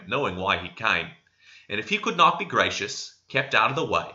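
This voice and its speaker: A man's voice: mid-range voice, pushed and bright, muffled recording. A man reads a classic novel aloud as an amateur narrator, expressively but with the occasional stumble. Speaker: amateur audiobook reader.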